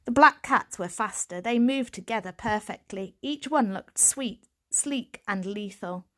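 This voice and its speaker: A woman's voice: mid-range voice, unhurried, fairly bright tone. A woman reading a children's audiobook as a dramatic scripted narration. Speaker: audiobook reader.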